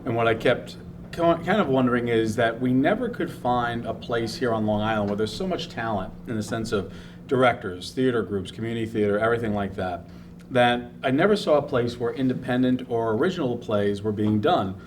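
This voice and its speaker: Medium-pitched man, slightly distant from the mic. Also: fairly quick. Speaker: theater festival co-founder being interviewed. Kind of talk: conversational recollection for a documentary. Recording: distant mic with background noise.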